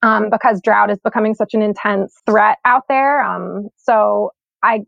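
A woman's voice: mid-range voice, unhurried, creaky, no room sound. A young woman and climate scientist, born in Sweden and raised in New York, talking casually and reflectively in an interview with lots of "like" and "um.". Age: young adult. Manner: relaxed, reflective, heavy use of "like" and "um". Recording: dull lo-fi recording.

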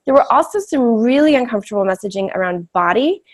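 A woman's voice: a little high, animated, fast. A woman with a big voice, giving candid, reflective interview answers drawn from her own experience. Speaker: interview guest.

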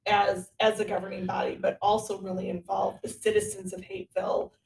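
A woman hosts a conversational podcast interview with noticeable creak in her mid-pitched voice. Her phrasing is chatty and a little hesitant. She sounds set back from the microphone in a roomy, echoing space. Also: level tone, a little fast, a little mellow, slight background noise. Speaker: podcast host. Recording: roomy echo, distant from mic.

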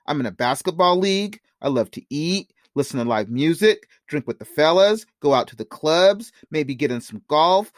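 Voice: mid-range voice, lively intonation, moderate pace, few pauses, no room sound, close to an everyday voice. Narrator playing an easygoing young man, close dry sound. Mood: teasing, confident. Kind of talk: audiobook character dialogue.

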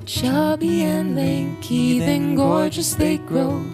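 A woman singer-songwriter, singing folk softly or chatting casually from the stage over a plucked acoustic guitar. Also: high-pitched, fairly even intonation, slow pace.

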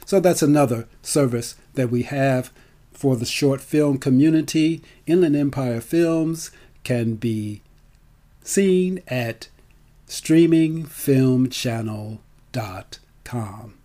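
A man speaks at a slow, deliberate pace in a relaxed, mellow voice of medium pitch. He sounds like someone promoting his work live and off the cuff, drawing out and repeating key words for emphasis. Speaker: storyteller and film festival organizer. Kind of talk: promotional talk, live and unscripted.

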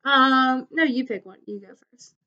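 Young woman, high voice, bright tone, chatty, teasing, podcast co-host. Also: normal speed, highs cut off. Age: young adult. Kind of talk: casual chat.

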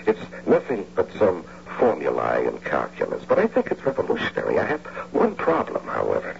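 Very deep, gravelly scheming husband. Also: male voice, wildly swooping pitch, a little fast, a little hiss or hum, full character voice. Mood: hesitant coaxing. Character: scheming smooth-talking husband, engineer turned house-husband bank robber. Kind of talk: old-time radio drama acting with first-person narration. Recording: dull, humming old radio recording.

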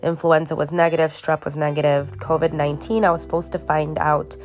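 A woman hosting her own podcast while sick, tired and congested, talking slowly in a halting way with many restarts and ums. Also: low-pitched, level tone, a little mellow, faint music behind. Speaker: podcast host. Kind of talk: casual solo podcast monologue. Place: her bedroom at home.

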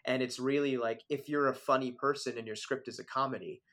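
A man's voice: medium pitch, fairly even intonation, brisk, fairly bright tone. A young adult man, a filmmaker guest, talking casually in an interview, full of fillers and asides. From Philadelphia area, born in Switzerland. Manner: conversational, many fillers like "like" and "you know".

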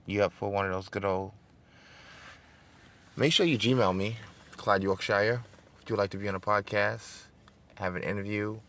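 Smooth-voiced man with gravelly low tone. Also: steady tone, moderate pace, pauses often, a bit bright. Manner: conversational, rising into emphatic preaching cadence; addresses listeners directly, seeks call-and-response. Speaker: podcast host. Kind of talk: casual political podcast monologue.

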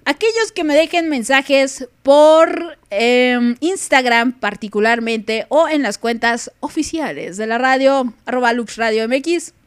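A woman's voice: high-pitched, dry recording, clean studio sound. A young woman with a Mexican accent hosting a casual live radio podcast, playful and teasing, laughing often. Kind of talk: casual chat on a live stream.

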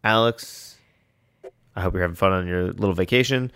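A man's voice: sits low, a little fast, fairly bright tone, clear, resonant tone, no echo. An American man hosting a casual podcast chat, easygoing as he explains and wraps up the show. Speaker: podcast host.

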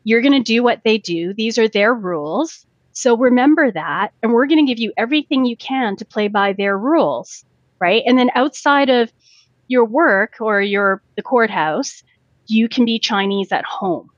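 A woman in her forties from Canada, a lawyer talking thoughtfully in a podcast interview, pausing often with fillers and restarts. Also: a little high, expressive, no echo, highs cut off.

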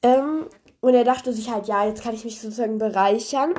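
A woman's voice: a little high, a little fast, darkish tone. Young woman with a stuffy cold. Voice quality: congested, nasal voice. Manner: chatty, rambling, many fillers. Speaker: podcast host. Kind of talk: casual retelling of a fairy tale.

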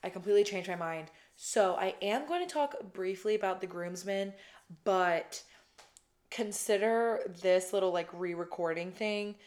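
A woman in her twenties hosting her own podcast, talking casually with a raspy voice after a weekend wedding, swearing often and saying "like" constantly. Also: mid-range voice, unhurried, darkish tone. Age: 20s. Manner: chatty, swears a lot, says "like" constantly. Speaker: podcast host. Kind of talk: casual solo podcast chat. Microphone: podcast microphone, close.

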